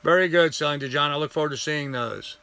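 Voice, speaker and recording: An older man hosting a casual live stream, chatting and answering viewer comments, getting hoarse after a long session. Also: a little high, fast, pushed and bright, dry recording. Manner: rambling, friendly, greets viewers by name.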